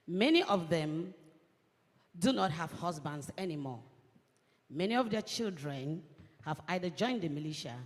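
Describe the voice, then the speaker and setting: A woman from Cameroon, speaking as a panelist in measured, earnest phrases. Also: on the low side. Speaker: panelist, women's organization coordinator. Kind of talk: conference panel remarks. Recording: long reverb of a large hall.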